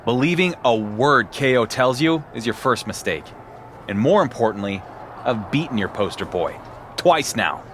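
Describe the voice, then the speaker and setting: Game role: man as proud, confrontational male champion, mid-pitched with lively emphasis. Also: normal speed, a little hiss or hum, lightly acted. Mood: cold resolve. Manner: blunt, confrontational. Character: defiant, brooding male champion wrestler, proud loner. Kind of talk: acted video game cutscene dialogue.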